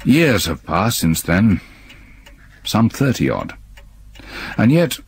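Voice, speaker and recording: An actor playing an older, haunted man who quietly apologizes and then broods over a ghost story he must tell. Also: lowish voice, big pitch swings, takes it slowly, a little hiss or hum, full character voice. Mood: brooding. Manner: subdued, apologetic, weary. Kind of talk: radio drama acting and narration.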